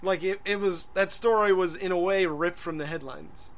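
A man's voice: sits high, normal speed. A man co-hosting a podcast in casual chat, rambling and hesitant, full of fillers and restarts. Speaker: podcast co-host.

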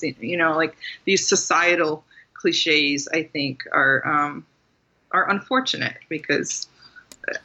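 Woman guest author, bright tone, chatty and laughing, slightly muffled audio. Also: fairly low pitch. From Maryland, USA. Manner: chatty, laughs often, many fillers. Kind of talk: casual interview talk.

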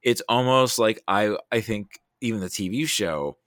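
A man talking casually about films, thinking aloud in a halting, animated way with self-quoting asides. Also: lowish voice, no echo, studio quality. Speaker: podcast guest discussing film. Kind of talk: casual film discussion.

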